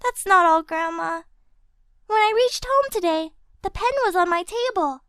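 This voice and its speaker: A woman's voice: very high-pitched, moderate pace, fairly bright tone, no echo, studio quality, pitched above the performer's own voice. A woman voicing a worried little cartoon schoolgirl, earnest and childlike, explaining things carefully. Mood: troubled, pleading. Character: worried little schoolgirl confiding in her grandmother.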